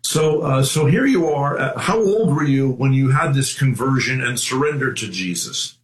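Male interview host, lively, mid-range voice, roomy echo. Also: mid-range voice, moderate pace. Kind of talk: faith interview.

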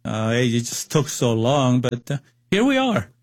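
Cuban-accented man in his sixties, interview guest, slow and halting, dry close sound. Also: medium pitch, very animated, no room sound. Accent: Cuban Spanish. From Cuba. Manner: hesitant, many fillers and restarts. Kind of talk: personal testimony interview.

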